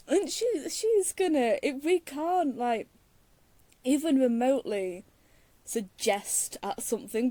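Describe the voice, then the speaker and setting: A woman's voice: sits high, dark tone, dry recording. A woman giving casual, rambling playthrough commentary in a teasing, exasperated, giggly manner. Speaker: streamer playing a story game.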